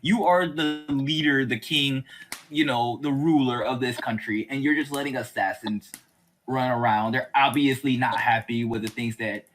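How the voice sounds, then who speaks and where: A man's voice: medium pitch, normal speed, a bit bright, slight background noise, rough recording. A man chatting casually and giving opinions, rambling colloquially and repeating himself for emphasis. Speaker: podcast host. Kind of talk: casual opinionated chat about TV.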